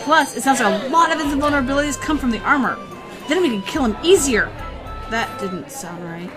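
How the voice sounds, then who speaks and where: A woman's voice: high voice, lively intonation, brisk. A woman playing a blunt, scheming fantasy adventurer in an audio drama. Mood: blunt, sheepish. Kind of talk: audio drama character acting. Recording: music behind the voice.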